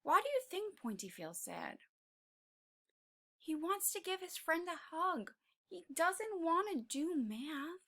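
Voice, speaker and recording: Animated, bright-voiced woman reading to children. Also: extremely high pitch, slow. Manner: expressive, prompting listeners with questions. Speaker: read-aloud teacher. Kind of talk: read-aloud to young children with questions.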